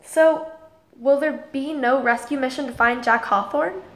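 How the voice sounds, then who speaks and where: A woman's voice: high voice, a little slow, a bit bright. A woman playing a caring, curious teenage girl in an audio drama.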